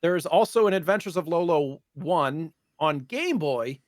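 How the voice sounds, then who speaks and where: Casual podcast guy, slightly higher voice. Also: expressive, moderate pace, no room sound, studio quality. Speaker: podcast co-host. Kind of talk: casual gaming podcast chat.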